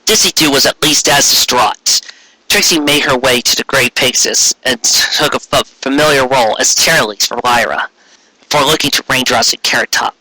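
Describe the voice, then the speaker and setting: A man narrates a fantasy story in an amateur read-aloud style. His voice is very bright and somewhat raspy, recorded close and dry. He trips on words and corrects himself as he goes. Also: medium pitch, moderate pace, no room sound, poor audio. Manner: stumbling over words, self-correcting. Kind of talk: reading fan fiction aloud, narration.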